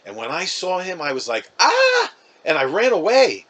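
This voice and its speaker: A man voicing a whining, excitable and easily spooked second-grade boy in a storybook. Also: high voice, normal speed, pitched above the performer's own voice, full character voice, low-quality recording. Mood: whining, defensive. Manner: whiny, excitable.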